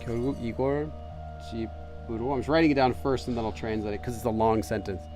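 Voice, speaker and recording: A man's voice: medium pitch, steady tone, very fast, music in the background. A man hosting a gaming channel, thinking aloud in casual let's-play commentary and hesitating with fillers and restarts as he translates for himself. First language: English.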